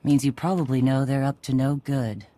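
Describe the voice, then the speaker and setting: An actress playing a tough, cocky Mandalorian clan leader in a video game, brash and sardonic in a casual way. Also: female voice, rumbling low, fairly even intonation, moderate pace, mellow. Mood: wry, grudging.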